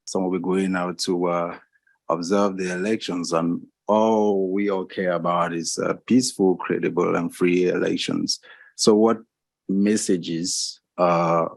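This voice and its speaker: Deep gravelly man asking panel questions. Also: steady tone, unhurried, dry recording. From Cameroon.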